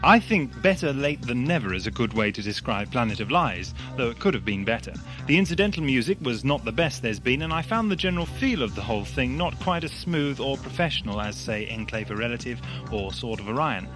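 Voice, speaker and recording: A man's voice: mid-range voice, expressive, fairly quick, hardly any pauses, over music. A man reading scripted letters aloud on a magazine programme. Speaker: reader of listeners' letters.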